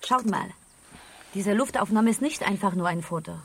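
A woman acting an investigator explains things plainly in a radio play. Her voice sits at a middle pitch, with faint chirping insect noise around it. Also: normal speed, lightly acted. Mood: focused. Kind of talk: radio drama dialogue.

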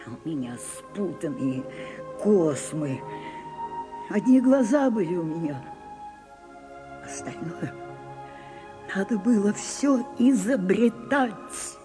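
An aging, bitter stage star. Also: female voice, fairly low pitch, mellow. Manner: slow, brooding, confessional. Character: aging famous actress, bitter and self-searching. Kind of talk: 1980s radio drama acting.

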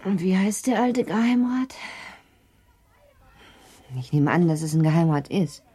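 Radio drama young wife: coy, theatrical sufferer, very husky and breathy, unhurried pace. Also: female voice, fairly low pitch, lively intonation, mellow. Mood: coy, hesitant. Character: young wife feigning back pain, coy and evasive. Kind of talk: German radio play acting.

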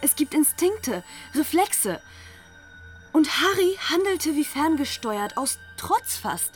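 Grave, measured female narrator. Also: sits high, sing-song, faint music behind, full character voice. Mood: intense. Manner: measured, literary. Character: former gang member gravely recalling the story. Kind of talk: dramatic first-person radio drama narration.

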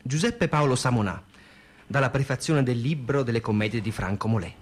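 Animated, husky man reading on radio. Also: medium pitch, fairly quick. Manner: expressive, theatrical. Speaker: radio reader. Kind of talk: dramatic reading of a letter.

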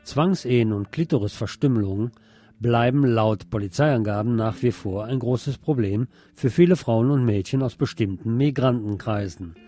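A man presenting radio news, reading from a script in a measured bulletin style. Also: mid-range voice, mellow, faint music behind.

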